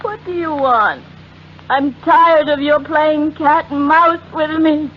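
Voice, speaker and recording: A terrified, fragile society wife in an old radio melodrama. Her very high voice is overwrought and pleading, often repeating itself. The sound is muffled and noisy. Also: slow. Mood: indignant, strained. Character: desperate wealthy married woman, terrified and fragile. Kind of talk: 1940s radio melodrama acting.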